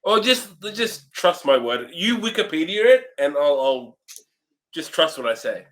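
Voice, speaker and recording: A man's voice: fairly high pitch, sing-song, measured pace, a bit bright. A man bantering casually as co-host of a film review show, blunt and dismissive in his remarks.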